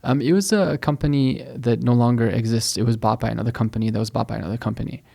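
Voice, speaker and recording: A man's voice: mid-range voice, steady tone, fast talker, gravelly. A middle-aged man from the United States, a guest scholar talking casually in a podcast interview, hesitant and full of restarts. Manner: soft-spoken, hesitant, many restarts. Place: mosque studio.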